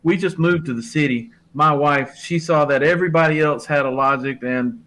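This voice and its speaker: A man's voice: on the high side, moderate pace, a bit bright, lightly acted, poor audio. Mild, folksy shopkeeper dad, played by a man. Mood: curious. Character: mild, folksy small-store owner, henpecked father. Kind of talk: hobby radio play acting.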